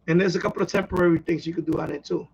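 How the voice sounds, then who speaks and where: High-pitched, raspy man chatting casually. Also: fairly even intonation, rapid-fire, a little mellow, highs cut off. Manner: rambling, repeats himself. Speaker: radio hobbyist live stream host. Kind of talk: casual live stream chat.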